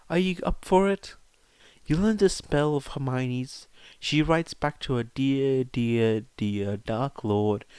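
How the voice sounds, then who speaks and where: Storybook role: incredulous teen wizard lad, read by a man, blunt, teasing, slightly raised pitch, dry recording. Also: a little mellow, clear, resonant tone, dry recording. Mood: teasing, eager. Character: brash, incredulous teenage wizard boy.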